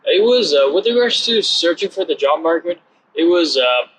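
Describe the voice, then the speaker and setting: A man in his twenties with a Nepali accent gives casual answers as an interview guest. His voice sits a little high for a man. There is faint noise behind him. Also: expressive, normal speed, a bit bright, rough recording. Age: 20s. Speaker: interview guest, program alumnus.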